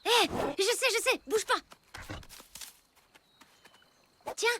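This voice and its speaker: Very high-pitched spirited child. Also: female voice, animated, moderate pace, heavy background noise. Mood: reassuring. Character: spirited, loyal young child, friend of a dinosaur. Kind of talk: French cartoon dub acting.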